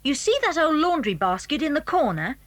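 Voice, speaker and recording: High, lively English schoolboy. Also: female voice, a little fast, no echo. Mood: knowing, instructive. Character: eager, confident schoolboy adventurer. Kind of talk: children's audio drama acting.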